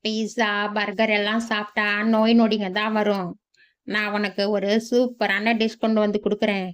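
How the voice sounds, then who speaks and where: A woman's voice: medium pitch, level tone, normal speed, clean tone, close to an everyday voice. A woman voicing a lazy, cheeky young village man in a cartoon, with a grumbling, sarcastic manner. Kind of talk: cartoon voice acting.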